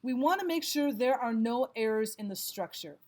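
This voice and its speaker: A woman narrating a scripted lesson as a teacher, reading items aloud in a measured, instructional way. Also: a little high, lively intonation, noisy background. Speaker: English grammar teacher.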